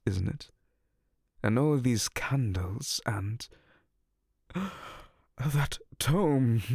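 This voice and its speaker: Male VA as a sarcastic incubus demon for ASMR audio, slow and gravelly, dry close mic. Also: lowish voice, darkish tone, no room sound, full character voice. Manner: theatrical, sarcastic and exasperated. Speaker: ASMR roleplay voice actor.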